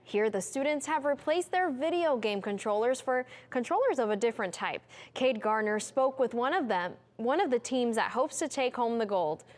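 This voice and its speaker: A woman's voice: on the high side, expressive, moderate pace, hardly any pauses. A woman with an American accent reading a scripted news introduction as an anchor.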